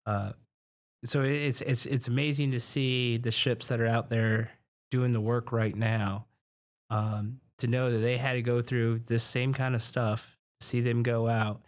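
A man answering interview questions about ship maintenance, speaking haltingly with frequent restarts. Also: medium pitch, flat delivery.

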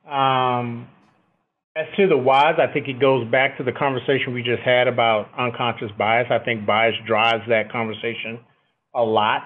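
Over a narrow, phone-like connection, a middle-aged man talks as an interview guest. He has a booming voice at an average pitch. His delivery is conversational and reflective, often checking that the listener follows. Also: steady tone, moderate pace. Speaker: military officer, podcast guest. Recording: thin, phone-like band-limited line.

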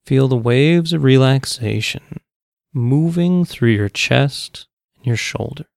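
Male meditation coach, calm and measured, dry close studio sound, lots of vocal fry. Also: medium pitch, no room sound. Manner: calm, measured, long pauses between phrases. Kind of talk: guided meditation, slow soothing instruction.

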